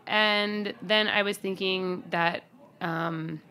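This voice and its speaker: A woman with a crisp, bright voice at a middle pitch co-hosts a podcast. She chats casually and comedically, with a blunt, sardonic edge and dry humor. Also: steady tone, slow pace. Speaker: podcast co-host.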